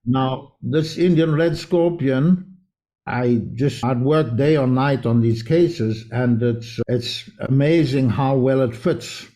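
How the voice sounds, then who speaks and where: A man from South Africa who grew up in Israel talks as an interview guest. His voice is soft and dark in tone, and he explains things earnestly in long, winding answers. His pitch sits at an ordinary middle level for a man. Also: slow, low-quality recording. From South Africa, raised in Israel. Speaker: guest homeopath. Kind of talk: conversational interview.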